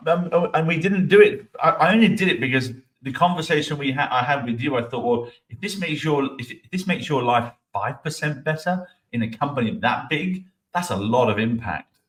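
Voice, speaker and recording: A middle-aged man with an ordinary mid-range voice hosts a casual interview chat. He talks in long, rambling stretches, enthusiastic and quick to stammer and restart his sentences. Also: mid-range voice, expressive, fairly bright tone. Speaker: host.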